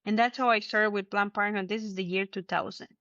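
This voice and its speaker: A woman from Mexico City speaks English with a Mexican Spanish accent, telling her story in an earnest, animated way at a middle pitch. Her audio comes over a remote call and sounds dull and muffled. The sound is dry, with no room around her. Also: fast talker, no room sound. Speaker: interview guest. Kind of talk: conversational interview. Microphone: remote call from home.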